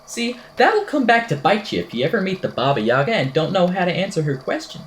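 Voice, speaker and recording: A woman's voice: fairly low pitch, very animated, a little fast, a little hiss or hum. A woman playing an eccentric, whimsical podcaster in an amateur audio drama, telling a strange tale in a verbose, wry, theatrical way. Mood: storytelling, wry. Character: eccentric, whimsical podcaster recounting a supernatural statement.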